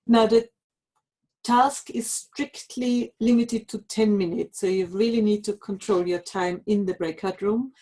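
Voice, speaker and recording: A woman's voice: medium pitch, mellow. A woman presenting a live online lesson for language teachers, explaining tools and giving practical instructions.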